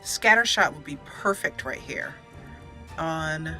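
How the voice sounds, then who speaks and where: Bright-voiced, unhurried woman gaming host. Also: sits low, expressive, faint music behind. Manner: playful, giggly, chatting to game characters. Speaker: let's play host. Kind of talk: casual live gameplay commentary.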